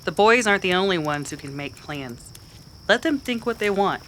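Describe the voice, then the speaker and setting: A man voicing a fiery, stubborn frontier woman with a defiant, sharp manner in a Western radio drama. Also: sits high, animated, normal speed, a little hiss or hum. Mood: determined. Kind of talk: old-time radio Western acting.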